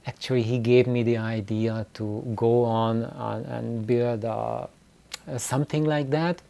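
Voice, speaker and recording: A man talking casually and unscripted as a video host, in a halting, rambling way that often repeats words. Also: medium pitch, fairly even intonation, gravelly, warm tone. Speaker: YouTube host of a DIY loudspeaker channel. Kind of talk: casual, unscripted vlog talk. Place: small room indoors.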